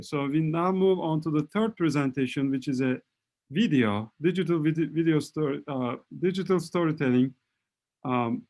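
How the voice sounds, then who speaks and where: A man moderating an online talk, speaking haltingly and correcting himself as he introduces the next presenters. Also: on the high side, a little mellow. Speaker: webinar moderator. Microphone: computer mic on a video call.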